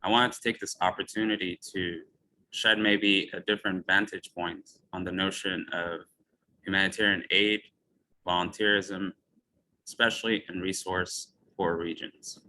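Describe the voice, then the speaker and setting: A physician from Ethiopia gives a lecture in a deep, bright and forceful voice. He talks in a measured way and leans on personal storytelling. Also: steady tone, low-quality recording. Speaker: physician speaker. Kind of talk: lecture talk.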